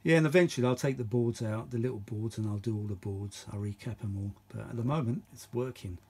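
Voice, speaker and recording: Husky middle-aged man rambling casually. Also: mid-range voice, fast, darkish tone. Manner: rambling, thinking aloud, repeating himself. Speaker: vintage audio channel host. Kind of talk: casual unscripted hands-on chat.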